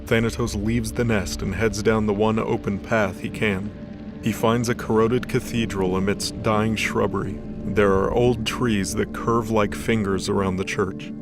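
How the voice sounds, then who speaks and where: A man's voice: on the low side, flat delivery, a little slow. A man narrating a scripted dramatic story as the storyteller of an audio drama. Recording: voice over background music.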